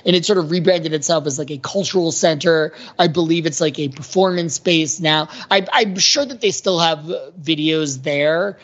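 A man's voice: a little high, normal speed, hardly any pauses, fairly bright tone. A middle-aged American man chats and reminisces as a podcast co-host. His delivery is rambling and conversational, full of "like", and the recording is slightly dull and lo-fi. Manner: rambling, peppered with "like". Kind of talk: casual chat, reminiscing. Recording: dull, lo-fi audio.

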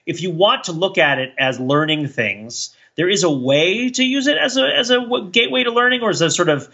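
A man with a bright, slightly high voice talks casually as a podcast co-host. He rambles reflectively, repeating words as he works out his thought. The recording is a little dull and lo-fi. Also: expressive, creaky. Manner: rambling, halting, repeats words while thinking aloud.

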